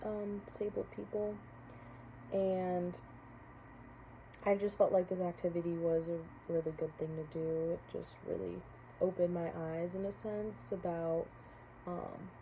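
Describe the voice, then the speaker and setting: A woman's voice: lowish voice, mellow, a little hiss or hum, rough recording. A young woman, a college student, giving a casual spoken class reflection, hesitant with many fillers and restarts. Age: young adult.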